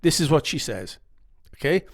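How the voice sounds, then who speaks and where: Earnest rambling Welsh man, slightly high. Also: big pitch swings, normal speed. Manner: rambling, earnest, reads quotes dramatically. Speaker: YouTube channel host. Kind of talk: casual advice monologue to camera.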